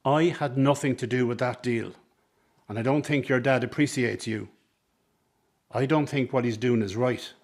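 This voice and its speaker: A man's voice: mid-range voice, normal speed, lightly acted. A man acting in a radio drama as a scheming circus man, talking in an ingratiating, persuasive, coaxing way. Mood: earnest, coaxing. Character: smooth-talking, scheming circus man, mother's old flame. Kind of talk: dramatic radio play dialogue.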